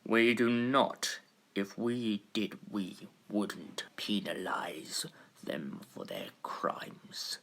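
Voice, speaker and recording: An actor playing a stern, formal Time Lord president in a sci-fi audio drama, speaking with pompous deliberation and weighty pauses. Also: male voice, fairly low pitch, a bit bright, in a whisper, exaggerated voice.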